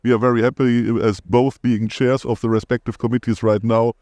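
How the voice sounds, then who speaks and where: A man with a German accent, a guest anesthesiologist explaining things in a measured way. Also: medium pitch, level tone, darkish tone, no echo, studio quality. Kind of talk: conference podcast interview.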